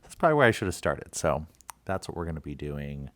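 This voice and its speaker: A man's voice: extremely low pitch, big pitch swings, fast talker, a little hiss or hum. A man talking casually as a video creator, explaining his process in a conversational way. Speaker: video creator and channel host. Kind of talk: casual vlog talk and editing walkthrough.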